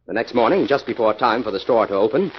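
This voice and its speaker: An actor with an American accent playing a confident, analytical crime-solver in a 1940s radio drama, speaking in an assured, explanatory way. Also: male voice, on the low side, animated, fast, a little hiss or hum, lightly acted. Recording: dull 1940s radio recording.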